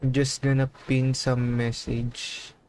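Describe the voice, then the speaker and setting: A man chatting casually on a game livestream in a halting way, often repeating himself. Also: medium pitch, completely flat delivery, a little mellow, clear, resonant tone. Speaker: livestreamer playing a video game.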